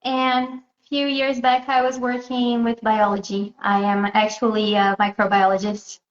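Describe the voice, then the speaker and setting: A woman from Southeast Brazil, answering interview questions in a hesitant way and pausing often to find her words. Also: on the high side, completely flat delivery. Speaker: interview guest. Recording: echoey, muffled sound.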